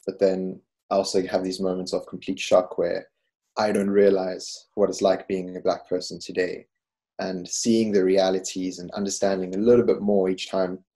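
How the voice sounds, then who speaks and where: A man's voice: deep voice, flat delivery, normal speed, dark tone. A man in his twenties speaking thoughtfully and sincerely as a guest on a video call panel. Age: 20s.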